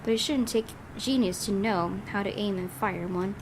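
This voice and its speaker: A woman streamer reads a game's story aloud and thinks out loud about it in a mid-range voice. A steady hiss of noise runs underneath the recording. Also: medium pitch, normal speed. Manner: thinking aloud, puzzling over the plot. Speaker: game streamer. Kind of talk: Let's Play commentary and reading aloud.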